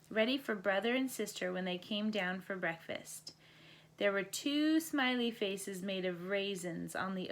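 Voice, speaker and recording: A woman's voice: medium pitch, a little hiss or hum. A woman reading a children's bedtime story aloud as a storybook narrator. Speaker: storybook reader.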